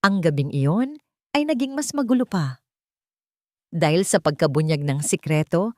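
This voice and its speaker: Woman, dramatic story narration, lowish voice, very expressive pitch, dry sound. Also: dry recording.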